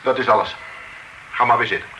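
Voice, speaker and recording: An actor playing a presiding magistrate who questions witnesses in a measured, formal way. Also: male voice, medium pitch, sharp tone, a little hiss or hum, lightly acted, poor audio. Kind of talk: period radio drama acting.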